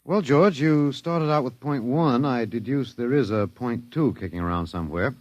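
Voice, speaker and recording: A man's voice: mid-range voice, normal speed, a little mellow, dry recording, highs cut off, lightly acted. An actor in a 1950s radio drama playing a bewildered, wry male professor, talking in a hesitant, musing, conversational way. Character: bewildered, wry male anthropology professor, last man on Earth.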